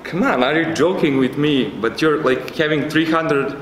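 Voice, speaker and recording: A man telling an anecdote casually and haltingly. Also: on the high side. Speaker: youth network member. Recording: echoey room, faint background noise.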